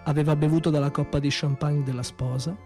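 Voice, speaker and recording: High-voiced man telling a dramatic story over music. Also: level tone, quick pace, dark tone. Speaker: storyteller-actor. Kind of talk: dramatic theatrical monologue narration.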